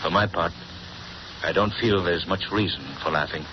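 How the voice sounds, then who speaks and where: A gentleman hero speaks low, calm and measured, as a man plays him. The 1930s radio recording is dull and hissy. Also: close to an everyday voice.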